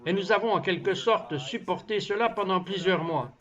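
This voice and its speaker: A man reading a dubbed voice-over of a personal testimony in an earnest, measured manner. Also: high voice, a bit bright, highs cut off. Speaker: voice-over translator.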